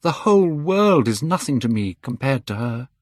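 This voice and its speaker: A man voicing an excitable, lovestruck young man, speaking high and eager in a storybook reading. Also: normal speed, dry recording, studio quality.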